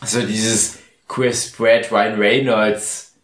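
A man's voice: on the low side, level tone, drawn-out words, a bit bright. A tipsy man co-hosting a podcast, rambling in a mock-philosophical way during a casual chat. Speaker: podcast co-host. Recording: echoey room.

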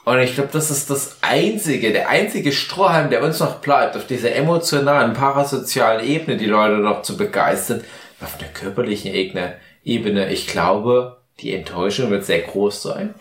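A man's voice: mid-range voice, moderate pace, fairly bright tone. A tipsy man co-hosting a podcast, rambling in a mock-philosophical way during a casual chat. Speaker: podcast co-host. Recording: echoey room.

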